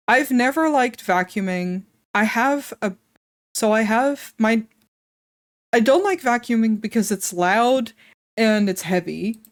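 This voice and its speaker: A woman streamer talks at an unhurried pace in a dry, close recording, sounding a little congested and wheezy. She chats casually with viewers, drops in swear words and laughs easily. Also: mid-range voice, no echo. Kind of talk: casual live-stream chat.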